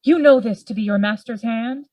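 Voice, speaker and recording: A woman playing a sober, rational Victorian gentleman in a Gothic radio play, speaking formally. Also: fairly high pitch, no room sound, lightly acted. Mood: puzzled, measured. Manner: formal, measured, reasoning.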